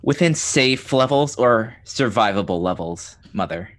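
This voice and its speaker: A man playing an insistent company officer in a sci-fi tabletop game, talking in a firm, deliberate way. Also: lowish voice, lively intonation, a bit bright. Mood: businesslike, questioning. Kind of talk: tabletop roleplay.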